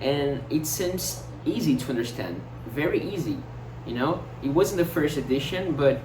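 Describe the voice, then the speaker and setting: A young man with a Brazilian Portuguese accent muses in a casual monologue, his speech full of fillers, restarts and searching for words. The sound is roomy and echoey, picked up from some distance. A clear layer of background noise sits under his voice. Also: on the high side, expressive, moderate pace. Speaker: vlogger. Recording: roomy echo, distant mic, noticeable noise.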